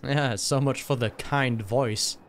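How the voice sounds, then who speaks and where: A man's voice: medium pitch, steady tone, takes it slowly, a little hiss or hum. A man giving casual reaction commentary on a game, musing aloud with some hesitation.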